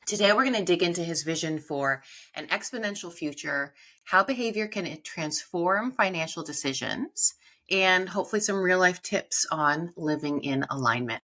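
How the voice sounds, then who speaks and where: A woman's voice: on the low side, expressive, normal speed, sharp tone. A woman hosting a podcast, upbeat and enthusiastic, reading a scripted intro and then chatting with her guest. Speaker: podcast host.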